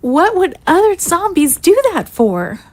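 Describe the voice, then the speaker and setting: A woman's voice: extremely high pitch, sing-song, a little slow, darkish tone, heavily acted. An actress playing a timid, apologetic young female recruit, hesitant and kind, in an audio drama. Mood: earnest. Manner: hesitant, meek.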